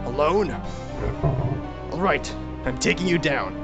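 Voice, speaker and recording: A man's voice: a little high, slow, over music. An actor playing a defiant, combative man ready for a fight in an audio drama.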